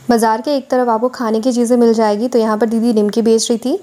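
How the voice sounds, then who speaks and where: A woman's voice: on the high side, level tone, fast talker, warm tone. A woman vlogger narrating a voice-over tour of a weekly market, listing goods and prices for her viewers.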